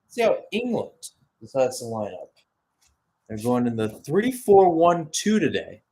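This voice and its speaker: A man's voice: medium pitch, big pitch swings, unhurried, dark tone. Male football play-by-play commentator. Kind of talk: live radio-style sports commentary.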